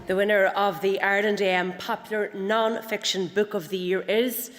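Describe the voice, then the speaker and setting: A woman's voice: medium pitch, flat delivery, moderate pace. A woman presenting an award, announcing the winner playfully. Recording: hall with crowd and music.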